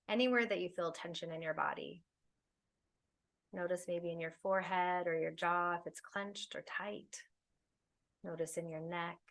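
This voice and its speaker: A woman leading a guided meditation, speaking soothingly in unhurried phrases with long pauses. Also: lowish voice, fairly bright tone. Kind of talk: guided meditation, spoken slowly to listeners.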